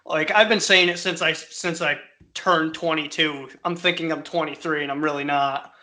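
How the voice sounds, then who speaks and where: A man in his early twenties co-hosting a podcast, excitable and quick to laugh. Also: fairly high pitch. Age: 20s. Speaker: podcast co-host. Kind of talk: casual podcast chat.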